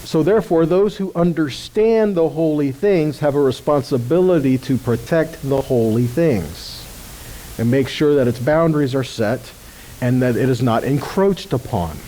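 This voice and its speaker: Mellow-voiced man teaching scripture. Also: mid-range voice, normal speed, slight background noise, low-quality recording. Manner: conversational, checks in with listeners with "Okay?". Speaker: ministry teacher.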